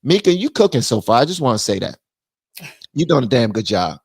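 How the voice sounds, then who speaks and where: A man's voice: medium pitch, a little fast, gravelly, breathy edge, no room sound. Male stream host, blunt, slangy, dry close sound. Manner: animated, drops into mock voices. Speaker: livestream host. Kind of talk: political commentary chat.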